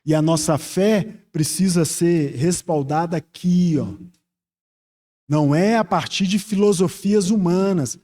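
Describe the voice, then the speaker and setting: High-pitched Brazilian male preacher. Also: unhurried, dark tone. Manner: earnest, reflective, rhetorical questions to the congregation. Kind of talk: expository Bible teaching sermon.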